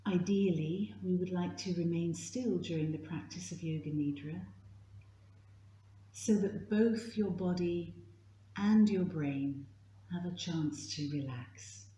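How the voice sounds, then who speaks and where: A woman leading a guided yoga nidra meditation in a gentle, soothing, hushed manner, leaving long pauses. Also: lowish voice. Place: indoor room at a retreat, live session. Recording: roomy echo, faint background noise.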